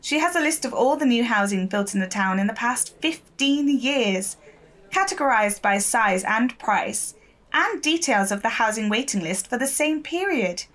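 Eager, high-voiced young reporter. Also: female voice, animated, moderate pace, a bit bright. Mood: earnest, keen. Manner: polite, inquisitive. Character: eager, diligent young female local newspaper reporter. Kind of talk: naturalistic audio drama acting.

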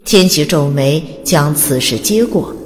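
A woman's voice: low-pitched, expressive, a little slow, a little mellow. A woman reading scripted story narration in a dramatic audiobook style. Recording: long reverb, faint background noise.

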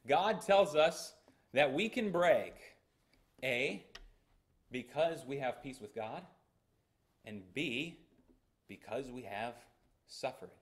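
A man's voice: mid-range voice, animated, slow pace, pauses often. A young man with an American accent preaching a sermon in a small church, earnest and emphatic, gesturing as he speaks. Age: young adult. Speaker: pastor. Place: small church sanctuary, indoors. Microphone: fixed camera at the front of the church.

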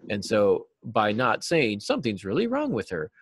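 A man in his forties giving earnest, explanatory answers as an interview guest, stressing key words deliberately. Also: fairly low pitch, wildly swooping pitch, slightly rough, dry recording. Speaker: writing teacher and novelist, interview guest. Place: small cluttered office, video call.

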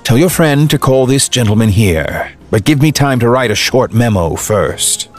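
A man's voice: medium pitch, lively intonation, normal speed, faint music behind, close to an everyday voice. An actor playing a stern, coldly probing man of authority in an audio drama. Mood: brisk.

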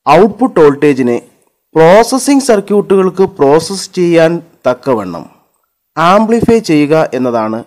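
A man narrating an electronics lesson over slides, listing terms one at a time in a measured way. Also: a little high, darkish tone. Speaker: teacher.

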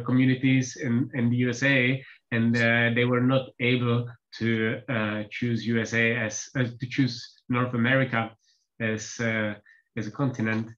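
A man whose first language is Czech, presenting software updates on an online team call, pausing often with fillers. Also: medium pitch. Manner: hesitant, many filler pauses. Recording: slightly dull lo-fi call audio.

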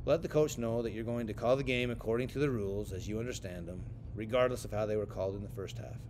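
An American man giving scripted training narration as an instructor for sports officials, in a measured, instructional way. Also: darkish tone. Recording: clear rumbling background noise.

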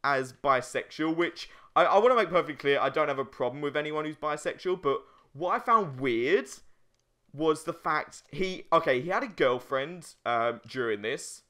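Young man, fairly high voice, lively pitch, casual let's play banter, crude jokey humour. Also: normal speed.

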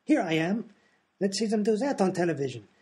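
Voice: medium pitch, very animated, fairly quick, warm tone, a little hiss or hum, lightly acted. An actor voicing an eccentric, pompous, grumbling professor in a comedy radio play. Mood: grumpy, absurd. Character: eccentric, agitated German professor, a man.

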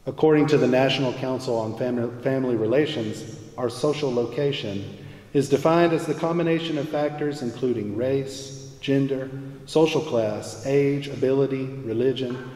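A man's voice: medium pitch, moderate pace, dark tone. A man in his forties earnestly reading a prepared testimony from the pulpit of a large church. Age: 40s. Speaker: church music minister. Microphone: pulpit mic, far camera. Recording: echoey church from afar.

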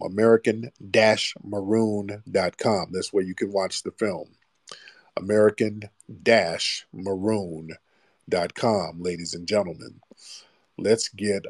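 A man hosts a live call-in show and mocks his callers in a loose, rambling way. His voice sits low. The sound is dry and close, with no room echo. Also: a little mellow, breathy edge. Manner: teasing, mocking, rambling. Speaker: livestream host taking callers. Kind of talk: casual call-in chat.

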